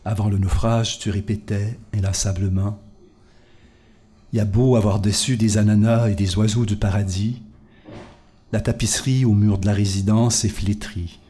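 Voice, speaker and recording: A man giving a slow, solemn reading of his own poetry, sometimes dropping to a hush. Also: on the low side, steady tone. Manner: measured, solemn, hushed in places. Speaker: poet reading his work.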